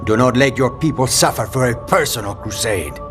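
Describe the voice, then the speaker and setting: An actor playing an earnest, authoritative security chief in a video game, speaking formally and with emphasis. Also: male voice, mid-range voice, lightly acted. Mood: indignant. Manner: formal, measured wording, emphatic. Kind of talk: video game cutscene acting. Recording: loud music bed behind.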